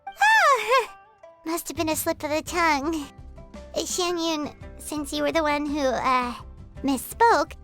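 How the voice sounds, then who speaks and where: Tiny squeaky game sidekick creature, super bright and bouncy. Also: female voice, slow, long pauses, soft background music, heavily acted. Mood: chirpy. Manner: chirpy and animated. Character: tiny, bubbly, excitable companion creature. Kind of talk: game voice acting.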